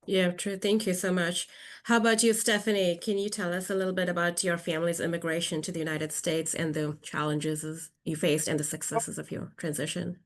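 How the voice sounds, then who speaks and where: A woman with a slightly low voice moderates a panel discussion. Her intonation stays flat and level as she hands over to speakers. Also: brisk.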